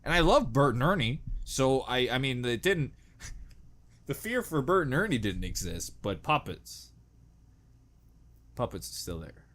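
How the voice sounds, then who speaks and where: A man's voice: medium pitch, very animated, measured pace, pauses often, fairly bright tone. A man giving casual, rambling reaction commentary with jokey, crude humour and exaggerated dread. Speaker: reaction video host.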